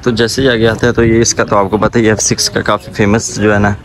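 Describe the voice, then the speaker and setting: A man's voice: on the low side, level tone, fast talker. A man in his twenties with a Pakistani accent, chatting informally to viewers in a casual walking-tour vlog. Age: 20s. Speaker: vlogger. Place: outdoors on busy city streets. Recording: clear outdoor street and traffic noise.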